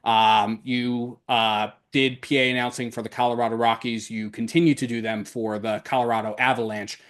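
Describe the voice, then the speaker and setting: A man hosting a casual podcast interview, phrasing his questions haltingly as he searches for words. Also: medium pitch, flat delivery, fairly bright tone.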